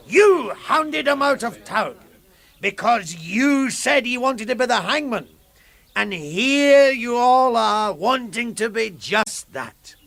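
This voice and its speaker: A bitter ex-comedian in a radio play, facing down a crowd. His voice rides very high for a man and swings widely. He speaks in theatrical, rhetorical repetitions, with a hard, bright edge. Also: gravelly, no room sound, full character voice. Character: bitter, defiant former stand-up comic addressing a hostile crowd. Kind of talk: radio drama monologue.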